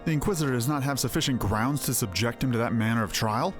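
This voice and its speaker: A man's voice: medium pitch, fast talker, close to an everyday voice. Man playing an earnest, commanding fantasy lord. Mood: urgent, resolute. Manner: formal, declamatory, resolute. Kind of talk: fantasy game dialogue read aloud. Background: game music.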